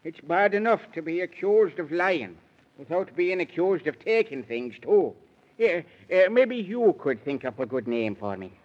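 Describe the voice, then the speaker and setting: A man's voice: fairly high pitch, very animated, unhurried. An actor voicing a whimsical, fretful lion king in a 1930s children's radio play, with a dithering, theatrical delivery. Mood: woeful, dithering. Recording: muffled vintage 1930s radio recording.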